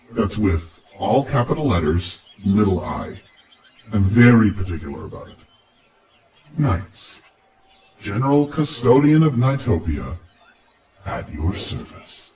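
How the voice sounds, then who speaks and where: A man's voice: on the low side, darkish tone, far from the mic. A man voicing a cocky, teasing androgynous dream spirit guide with a sardonic, playful, theatrical manner. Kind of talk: character voice acting.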